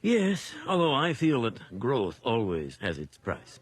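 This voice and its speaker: An actor playing an older retired judge in a radio drama, polite, thoughtful and measured in his wording. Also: medium pitch, huge pitch swings, husky, exaggerated voice. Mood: probing. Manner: measured, polite, gently probing.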